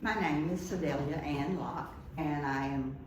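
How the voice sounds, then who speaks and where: A woman in her seventies, a retired teacher, telling lively stories about life in a senior community. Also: low voice, measured pace, slight background noise. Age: 70s. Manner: lively anecdotal storyteller. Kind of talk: casual storytelling. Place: room in a senior living community. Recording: echoey room.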